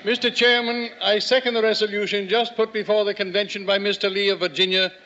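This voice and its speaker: A man playing a shrewd, calm statesman of the colonial Congress in a measured, diplomatic way, in an old-time radio drama. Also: high-pitched. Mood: formal, insistent. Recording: dull, noisy vintage radio recording.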